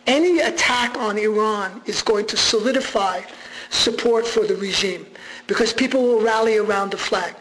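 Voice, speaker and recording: A man's voice: high voice, normal speed, a bit bright, rough recording. A man whose first language is Armenian, giving a conversational public lecture with frequent restarts and fillers.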